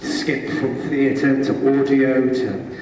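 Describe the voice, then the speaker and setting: A man's voice: medium pitch, steady tone, slow pace, darkish tone, a little hiss or hum. A Welsh man in his twenties, a native Welsh speaker, telling stories as a guest on stage with self-deprecating humor and frequent laughter. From Wales. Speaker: guest actor. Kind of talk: convention stage Q&A. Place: large convention hall stage. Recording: echoey hall from far off.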